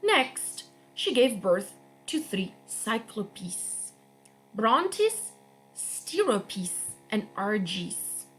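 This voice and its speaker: A young woman reading a myth aloud for a children's storytime, slowly and expressively. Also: a little hiss or hum, poor audio. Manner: slow, expressive read-aloud with lively pitch. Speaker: guest reader.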